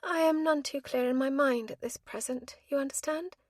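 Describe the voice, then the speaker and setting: A woman voicing a young, dazed widow who speaks softly in a genteel, apologetic way. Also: high-pitched, normal speed, no room sound, studio quality, pitched above the performer's own voice, lightly acted.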